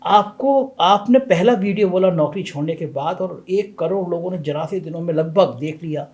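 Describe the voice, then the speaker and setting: A man's voice: high voice, moderate pace, darkish tone. A man hosting an interview, asking probing questions in an admiring tone. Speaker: interviewer and channel host.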